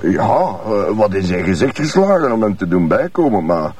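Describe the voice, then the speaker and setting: A man's voice: lowish voice, big pitch swings, normal speed, darkish tone, slightly rough, far from the mic. An actor playing a tipsy, blunt male tourist answering police questions in a radio crime drama. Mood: hesitant, frank.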